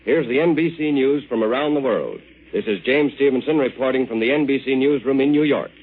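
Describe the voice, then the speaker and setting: A man with an American accent reading a scripted 1940s radio news bulletin in a formal, measured manner. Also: medium pitch, husky. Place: radio newsroom. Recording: muffled vintage 1940s radio broadcast.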